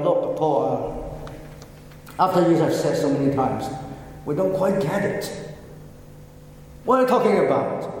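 A man whose first language is Chinese, preaching a sermon slowly and repeating key phrases for emphasis, in an echoey hall. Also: on the high side, a little mellow, slight background noise. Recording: echoey hall, heard from a distance.

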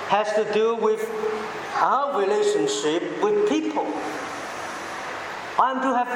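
A man whose first language is Chinese preaches in a measured, teacherly way, often stopping to ask the congregation a question. His voice sits high and moves slowly. The sound rings through a large church hall, with background noise underneath. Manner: deliberate, teacherly, asks the audience rhetorical questions. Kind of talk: sermon.

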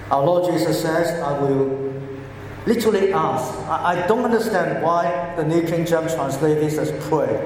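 A pastor with a Cantonese accent preaching a sermon, slowly explaining words one by one. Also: male voice, fairly high pitch, darkish tone, a little hiss or hum. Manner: slow, deliberate, explaining word by word. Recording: echoey hall with faint ambience.

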